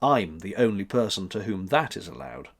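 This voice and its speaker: A man acts out Old Time from a storybook, an elderly, self-assured man. He speaks in a measured, matter-of-fact way with lively rises and falls, stern but kindly. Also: fairly low pitch, vocal fry, pitched below the performer's own voice. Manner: measured, matter-of-fact, severe when crossed.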